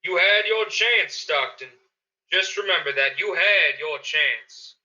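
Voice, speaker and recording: A man's voice: a little high, slow pace, pushed and bright, full character voice. A man playing a hot-headed suburban neighbor in a panicked radio drama. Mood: angry, threatening. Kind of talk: radio play acting. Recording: dull lo-fi audio.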